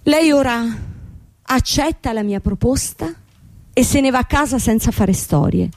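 A woman's voice: a little high, lively intonation, measured pace, vocal fry, darkish tone, no room sound. An actress playing a young woman company boss in a radio drama, formal, insistent and manipulative. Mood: cold, pressing. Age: young adult. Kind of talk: theatrical radio drama acting.

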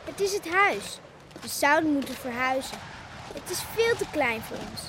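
A young girl, the serious and dutiful sister of a family. Her voice is high and earnest. The old radio play recording has clear noise over it. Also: expressive, moderate pace, a bit bright. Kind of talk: Dutch radio play acting.

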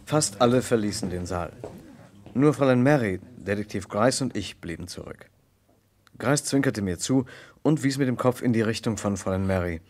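An actor playing a composed first-person narrator of a murder inquiry in a vintage German radio crime drama. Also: male voice, on the low side, lively intonation, normal speed, darkish tone, lightly acted.

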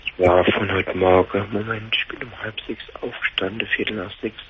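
A man in his forties with a Palatinate German accent, calling a late-night radio show, talking in a halting, dazed way full of restarts. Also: lowish voice, steady tone, a little hiss or hum. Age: 40s. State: shaken, in shock. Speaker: caller. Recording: dull lo-fi phone-in audio.

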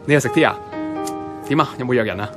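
A man's voice: mid-range voice, quick pace, music in the background, natural voice. A man playing a friendly, sociable office crush in a Hong Kong Cantonese radio drama, chatting casually. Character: friendly male office crush inviting a colleague out.

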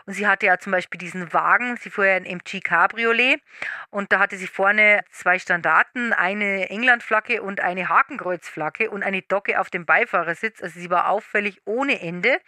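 A woman's voice: medium pitch, moderate pace, bright, forceful tone, no room sound, clean studio sound. A woman giving spontaneous, colloquial and emphatic commentary, as if in an interview.

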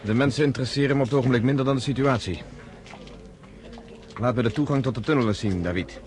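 A man's voice: mid-range voice, fast, darkish tone, lightly acted. A man playing Arie, a hard-headed, blunt agent who scoffs at miracles, in a radio play. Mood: businesslike. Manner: blunt, sober, dismissive of miracles.

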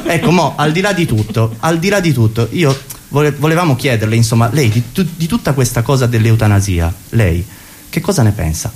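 A man with a Roman Italian accent acting as interviewer in a comic mock interview, asking his questions haltingly. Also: mid-range voice, very animated, darkish tone, a little hiss or hum. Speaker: comedian playing an interviewer.

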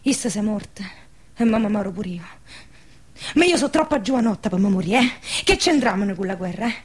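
Breathy, frightened young peasant woman. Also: mid-range voice, expressive, fairly quick. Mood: pleading. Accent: central-southern Italian. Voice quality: breathy, often dropping to a whisper. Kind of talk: intense radio theatre acting in dialect.

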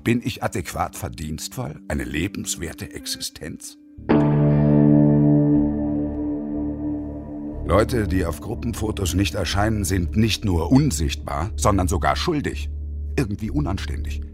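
Sardonic jobless engineer, fifties, wordy and pedantic, lively pitch swings, radio play with faint music behind. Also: male voice, normal speed. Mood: brooding, reflective. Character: bitter, sardonic unemployed engineer in his early fifties. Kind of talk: radio drama acting with inner monologue.

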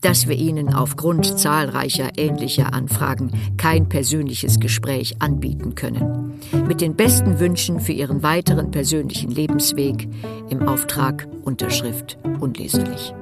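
Formal office official: low, gravelly, stiffly polite. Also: female voice, moderate pace, loud music behind the voice. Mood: formal, dismissive. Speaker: official reading out reply letters. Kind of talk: radio drama letter reading.

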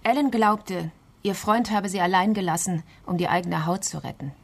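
A woman's voice: fairly low pitch, normal speed, gravelly. An actress in a crime radio play voicing a composed, determined investigator, matter-of-fact and clipped as she reports a case. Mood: sober.

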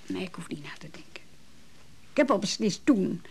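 A woman's voice: lowish voice, very animated, normal speed, gravelly, whispered. An actress playing a hurt, disillusioned woman in a radio play, nervy and brooding, speaking in hesitant, broken phrases. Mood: resigned. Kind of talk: naturalistic Dutch radio drama dialogue.

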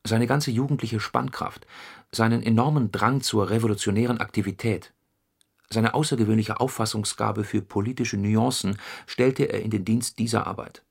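Radio play monologue: an analytical political operative, lowish pitch, measured memoir delivery, sober throughout. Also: male voice, steady tone, creaky, breathy edge. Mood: sober, reflective. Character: sober communist functionary recollecting a comrade in secret work.

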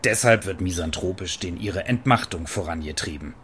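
An actor playing a brash, earthy Berlin partygoer in a radio play, with a Berlin accent and colloquial, sassy delivery. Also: male voice, deep voice, normal speed, fairly bright tone, a little hiss or hum. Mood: declamatory. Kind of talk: German radio play acting.

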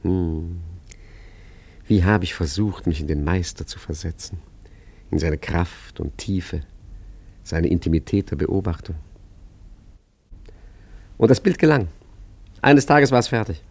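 A sly arty con man in a radio play, low voice, theatrical and ironic. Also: measured pace, long pauses, darkish tone, husky, full character voice. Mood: grandiose, savoring. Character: suave, cheeky male art forger and street painter. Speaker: narrator and lead actor. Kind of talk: German radio play acting and narration.

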